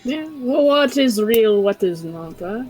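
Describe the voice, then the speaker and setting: A woman's voice: a little high, animated, unhurried, darkish tone, soft background music. A woman improvising hesitantly as a player in a tabletop roleplaying game.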